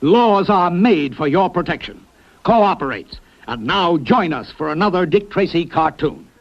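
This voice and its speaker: A male announcer reading a scripted public-service message in an earnest, measured way. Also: high-pitched, animated. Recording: dull lo-fi 1960s TV audio.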